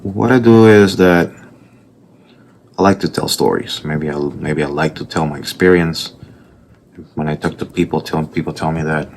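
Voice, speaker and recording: A man with a strong Puerto Rican accent and an extremely deep voice talks in a casual, meandering way, circling back and restarting his sentences. He sounds like an artist chatting over his own drawing process, recorded with a faint hiss of background noise. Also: moderate pace, pauses often. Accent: Puerto Rican Spanish. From Puerto Rico. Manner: rambling storytelling with restarts and asides.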